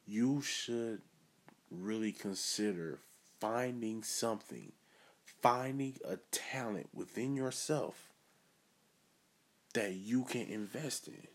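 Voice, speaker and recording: A man's voice: fairly low pitch, slow pace. A man hosting his own podcast, giving an earnest, self-assured motivational monologue. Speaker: podcast host.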